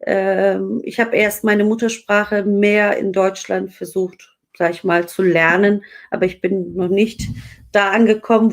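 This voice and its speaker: A woman from Dersim hosting a live online interview, chatting casually with many fillers and restarts. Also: mid-range voice. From Dersim, Turkey. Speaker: host.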